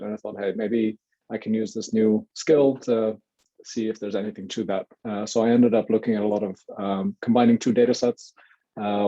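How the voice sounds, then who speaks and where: Low, mellow-voiced hesitant man. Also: slightly rough. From Lebanon. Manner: halting, many fillers and restarts. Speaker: guest, data science evangelist. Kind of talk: hesitant casual chat. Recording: dull lo-fi webinar audio.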